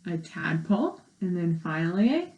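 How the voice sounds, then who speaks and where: A woman's voice: lowish voice, big pitch swings, moderate pace, darkish tone, highs cut off, slight background noise. A woman teacher reading aloud to young children and explaining as she goes in simple words, with questions to the listeners. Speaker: elementary school teacher.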